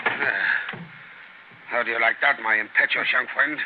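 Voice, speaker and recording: An actor playing a smugly menacing spy in a 1930s radio drama, with a sneering, mock-courteous manner. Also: male voice, medium pitch, fairly even intonation, lightly acted. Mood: smug, gloating. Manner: sneering, mock-courteous, halting foreign phrasing. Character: menacing, smugly mocking foreign spy, a killer. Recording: tinny, scratchy 1930s radio broadcast.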